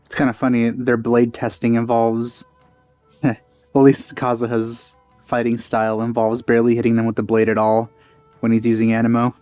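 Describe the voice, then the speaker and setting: A man commentates casually over a game with faint music behind him. His voice is mellow and dry, close to the mic. He speaks in low-key, offhand asides. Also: monotone, normal speed, no room sound. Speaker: let's play host.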